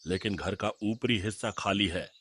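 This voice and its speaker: A man voicing an elderly landlord father in a story cartoon, husky, measured and commanding. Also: lowish voice, a bit bright. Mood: instructing.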